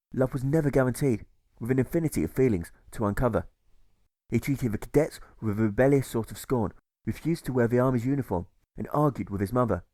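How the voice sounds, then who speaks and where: Male audiobook narrator, medium pitch, rhythmic scripted verse, measured delivery, very dry recording. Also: a little mellow, dry recording. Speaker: author reading own audiobook.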